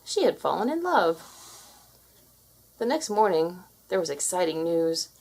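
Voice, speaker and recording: Lady reading a fairytale out loud for kids, voice a bit on the low side. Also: sing-song, unhurried. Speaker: children's storybook narrator. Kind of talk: scripted read-aloud narration.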